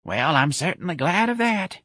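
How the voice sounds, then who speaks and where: A man's voice: on the high side, sing-song, normal speed, a bit bright, slightly rough, no echo, clean studio sound, pitched above the performer's own voice, heavily acted. A man voicing a feeble, confused, stubborn old woman with a tight-lipped voice in an audiobook. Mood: feeble confusion.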